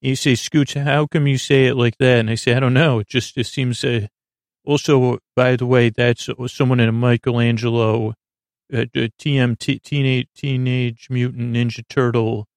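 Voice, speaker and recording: Guy with a creaky fry voice doing a silly sleep podcast, keeps wandering off and tripping over words, really dry sound. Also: mid-range voice, level tone, no room sound. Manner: meandering, halting, self-interrupting with tangents and stammers. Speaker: podcast host. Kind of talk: rambling, silly bedtime podcast monologue.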